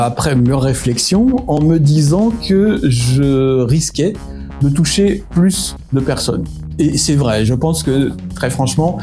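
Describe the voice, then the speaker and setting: A man's voice: on the high side, dark tone. A French man talking casually to his channel's viewers, rambling and joking at his own expense with lots of hesitations. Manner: rambling, self-mocking, many hesitations. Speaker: miniature-hobby YouTuber. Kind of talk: casual vlog talk to subscribers.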